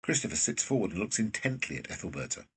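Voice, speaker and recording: A man's voice: sits low, big pitch swings, fast talker, husky. A man reading scripted scene narration for a period drama. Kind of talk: scripted narration of stage directions.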